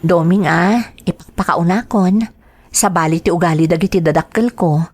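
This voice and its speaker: A woman's voice: lowish voice, normal speed, darkish tone, close to an everyday voice. Woman acting cautious young Filipino girlfriend. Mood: worried, teasing. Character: cautious young girlfriend wary of her parents. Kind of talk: radio drama acting.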